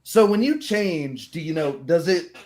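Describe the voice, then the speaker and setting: A man with a moderately high voice chats informally. He tells things in a loose, halting, anecdotal way, as someone at ease among fellow gamers. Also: lively intonation, a little mellow, a little hiss or hum. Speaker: tabletop role-player. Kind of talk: casual anecdotal chat.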